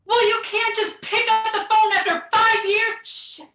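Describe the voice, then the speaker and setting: An actress playing an uptight, exasperated adult daughter in a stage play, protesting in clipped phrases. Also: female voice, very high-pitched, flat delivery, measured pace, sharp tone, full character voice. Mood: exasperated, defensive. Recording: echoey room, distant from mic.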